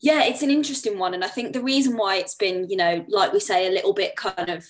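A woman's voice: mid-range voice, fast talker. A young woman with a Birmingham accent, co-hosting a podcast in casual chat, chatty with many fillers. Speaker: podcast co-host.

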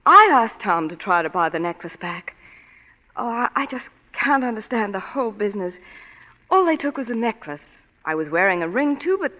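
A coy glamorous young wife. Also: female voice, medium pitch, very animated, normal speed, sharp tone. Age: young adult. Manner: smooth, teasing, coy. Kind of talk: 1940s radio acting. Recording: dull 1940s radio broadcast.

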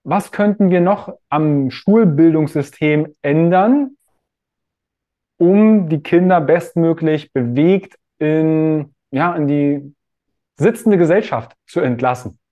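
A man's voice: fairly high pitch, slow, mellow. A man hosting an interview, talking casually and thoughtfully, feeling for his words as he goes. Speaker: podcast host. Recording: dull, lo-fi audio.

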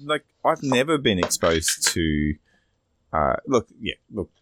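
A man's voice: fairly low pitch, sing-song, slow, fairly bright tone, slight background noise. A man with an Australian accent speaking as a podcast host in a casual, rambling, opinionated discussion. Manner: rambling, sweary.

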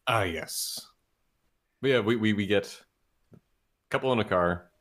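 A man's voice: fairly low pitch, a little slow, a bit bright. A man co-hosting a casual movie podcast, rambling with lots of restarts and frequent laughter. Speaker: podcast co-host. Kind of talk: casual movie review chat.

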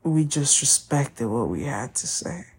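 A woman whose first language is Spanish, hosting a podcast and talking candidly about a breakup, often pausing to find her words. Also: rumbling low, animated, darkish tone, slightly rough. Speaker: podcast host. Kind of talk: personal monologue.

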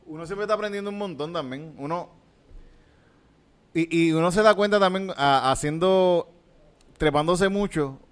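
Easygoing Puerto Rican man, higher pitch. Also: normal speed, pauses often, smooth. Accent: Puerto Rican Spanish. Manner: agreeable, relaxed, swears. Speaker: comedian podcast co-host. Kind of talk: casual podcast chat.

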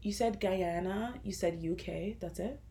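A woman's voice: on the low side, unhurried, mellow, a little hiss or hum. A woman in her twenties co-hosting a casual chat with a friend, slangy, teasing and easygoing. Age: 20s.

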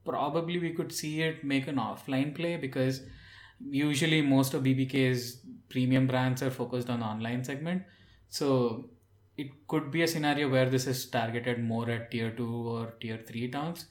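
A man's voice: medium pitch, fairly even intonation, moderate pace, echoey room. A man chatting casually as a panelist on a tech podcast.

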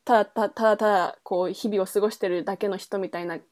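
A woman's voice: medium pitch, steady tone, fast, darkish tone. A woman podcast host in a casual book chat, thinking aloud in trailing, loosely linked phrases. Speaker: podcast host reviewing a novel.

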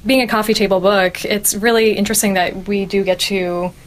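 A woman's voice: medium pitch, fairly even intonation, brisk, low-quality recording. A young woman hosting a podcast interview, speaking enthusiastically and laughing often. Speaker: interviewer host.

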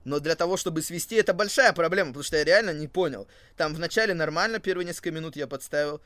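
A man's voice: on the high side, fast, sharp tone, no room sound. A man co-hosting a podcast in casual chat, thinking aloud with hesitations and repeated phrases. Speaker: co-host of a wrestling podcast.

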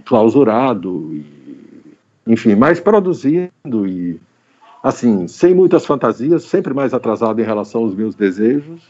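A man's voice: mid-range voice, sing-song, a little slow, mellow, muffled recording. A man speaking as a guest, a writer, in casual conversation, rambling and repeating himself with many fillers. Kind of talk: casual interview chat. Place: home during pandemic.

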